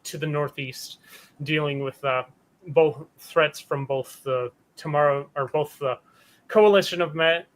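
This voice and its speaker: Male GM, higher-pitched, easygoing, narrates the scene casually. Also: a little slow. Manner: relaxed, casual narration with fillers. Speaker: game master. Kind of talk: narrating a tabletop game.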